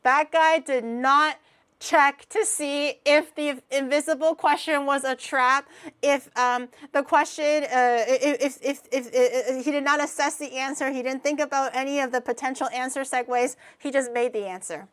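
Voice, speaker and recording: A woman's voice: sits high, fairly even intonation, normal speed, fairly bright tone. A woman in her twenties streaming, chatting casually while reacting to clips, rambling, self-mocking and laughing often. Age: 20s.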